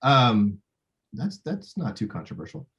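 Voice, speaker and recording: Guy with a lowish voice, film director chatting in an interview, kinda halting. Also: sing-song, a bit bright, highs cut off. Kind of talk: casual promotional interview.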